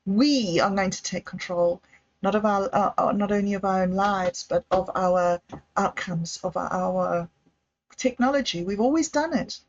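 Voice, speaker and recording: Lady rambling on her livestream, gushy and all over the place, middle pitch, kinda muffled audio. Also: moderate pace. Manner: effusive, rambling, self-interrupting. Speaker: livestream host. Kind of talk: rambling solo livestream chat.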